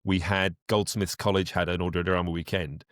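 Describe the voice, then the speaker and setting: A man's voice: sits low, little pitch movement, a little fast, fairly bright tone, dry recording, studio quality. A man talking at length as a guest in a conversational podcast interview, explaining things in a rambling way. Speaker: guest, voice actor and director.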